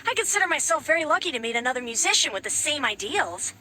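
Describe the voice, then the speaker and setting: A woman's voice: high-pitched, very animated, fast talker. A woman voicing a giant talking flower that guards a hall, friendly and easygoing, with casual slang. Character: giant talking flower, cheerful laid-back gatekeeper. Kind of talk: video game dialogue. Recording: game audio with clear background noise.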